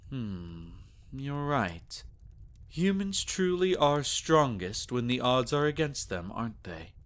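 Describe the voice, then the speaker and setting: A man voicing a devoted, gentle vampire lover in an intimate ASMR audio drama, speaking tenderly in formal, old-fashioned phrases. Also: mid-range voice. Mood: warm, reflective.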